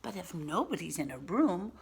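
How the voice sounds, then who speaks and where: A woman reading a picture book aloud to children as a teacher, expressively and patiently, pausing to explain and ask questions. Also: fairly low pitch, big pitch swings, creaky. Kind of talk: animated read-aloud with simple explanatory asides.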